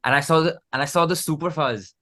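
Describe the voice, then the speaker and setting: High-pitched Indian man chatting casually. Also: pushed and bright. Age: early thirties. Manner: halting, repeats words, says "like" a lot. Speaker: musician, band member being interviewed. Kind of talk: casual chat.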